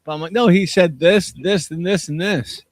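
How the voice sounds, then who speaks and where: A man's voice: high-pitched, normal speed, dry recording. A man with a Midwestern accent co-hosting a casual beer chat, telling stories and laughing easily. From Midwestern United States. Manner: chatty storyteller, laughs often. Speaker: beer show co-host.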